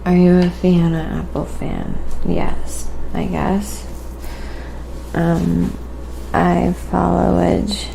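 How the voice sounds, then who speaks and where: A woman talks softly and slowly over a clearly noisy background, as on an ASMR painting livestream. Her voice is breathy with heavy fry, often sinking toward a whisper. She chats casually, thinking out loud as she works. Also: on the low side, little pitch movement. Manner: unhurried, musing aloud while working, thanking viewers.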